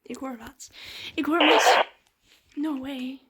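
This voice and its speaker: A woman, chatting casually and excitedly to viewers as a vlogger. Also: high voice, slow pace, very noisy.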